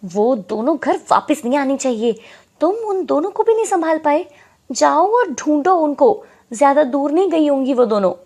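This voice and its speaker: A woman voicing a bossy, scheming aunt in an animated moral story, with a sharp, commanding manner, over music. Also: high-pitched, moderate pace, pitched above the performer's own voice. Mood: angry. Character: scheming, bossy aunt of two orphaned girls.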